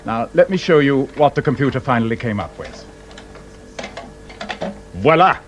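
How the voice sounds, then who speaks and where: A man's voice: medium pitch, animated, moderate pace, slight background noise, lightly acted. An actor playing a wry, sarcastic male investigator with a pedantic, teasing manner and a British accent in a radio drama. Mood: wry, instructive.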